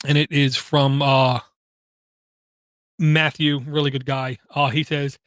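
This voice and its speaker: A man's voice: medium pitch, little pitch movement, a bit bright, no echo. Man, podcast host, slight stutter, hesitant fillers, dry close mic. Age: adult, has kids. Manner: halting, frequent ums and uhs, slight stutter. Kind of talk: personal monologue.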